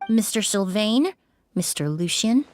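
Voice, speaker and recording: An actress voicing a composed young woman perfumer in a video game, analytical and politely probing, speaking with measured, formal precision. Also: mid-range voice, expressive, faint music behind, lightly acted. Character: composed young female perfumer, analytical, quietly shrewd investigator. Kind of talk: scripted video game voice acting.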